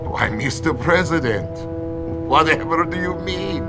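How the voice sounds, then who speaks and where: Pompous Russian premier, lively and gravelly. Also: male voice, fairly high pitch, unhurried. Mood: smug menace. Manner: pompous, mock-courteous, savouring words. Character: scheming, theatrical middle-aged Soviet premier, smugly genial menace. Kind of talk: campy cinematic game cutscene acting. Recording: music underneath.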